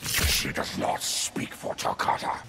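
An actor playing a savage, defiant monster warlord in a video game, with a growling, blunt, menacing delivery. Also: male voice, takes it slowly, natural voice. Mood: hostile. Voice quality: deep gravelly growl. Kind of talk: video game character dialogue. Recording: loud noise behind voice.

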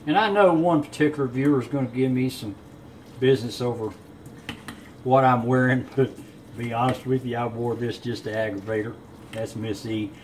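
A man's voice: mid-range voice, steady tone. Easygoing man, noisy kitchen recording. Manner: easygoing, folksy, unhurried. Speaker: home cook presenting a recipe. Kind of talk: casual cooking demonstration. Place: home kitchen, indoors. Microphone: camera on counter a short way off. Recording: clear room noise in a kitchen.

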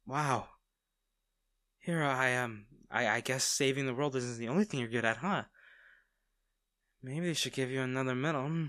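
A man's voice: mid-range voice, normal speed, long pauses, no room sound, studio quality, close to an everyday voice. A man playing a tender, nervous villain in an intimate audio roleplay, stammering and hesitant as he speaks to the listener. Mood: playful, smitten. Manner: hesitant, stammering, soft-hearted. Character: smitten masked male villain, nervous, tender, teasing.

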